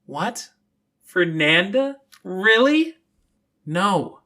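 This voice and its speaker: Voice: mid-range voice, very animated, drawn-out words, sharp tone, voice pushed up, full character voice. A narrator voicing an earnest, defensive, easily flustered teenage girl in an audiobook.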